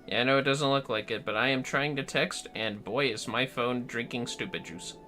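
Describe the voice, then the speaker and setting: Male game streamer, bright forceful voice, casual chat with theatrical flair and cursing, background music. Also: medium pitch, moderate pace. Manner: sweary, playfully theatrical. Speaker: livestreaming gamer. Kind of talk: casual livestream gaming chat.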